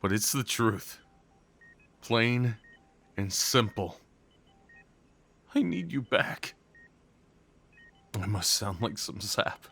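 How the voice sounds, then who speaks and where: A man playing a devoted, desperate crime boss at his lover's bedside in an intimate audio roleplay, speaking in a halting, emotional way. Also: lowish voice, lively intonation, creaky, a bit bright, heavily acted. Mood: broken, pleading. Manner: slow, halting, emotional, pleading delivery.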